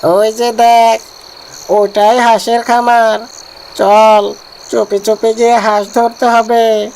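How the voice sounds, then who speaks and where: A woman voicing a scheming father fox in a cartoon story, speaking to his cub in a deliberate, parental, explaining manner. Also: fairly high pitch, little pitch movement, a little mellow, slight background noise, low-quality recording. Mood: urging. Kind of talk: cartoon animal story dialogue.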